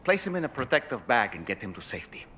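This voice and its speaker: A man's voice: mid-range voice, big pitch swings, fast, lightly acted. An actor playing an instructive male mission guide giving scripted directions in a video game. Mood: urgent, directive. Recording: clear background noise.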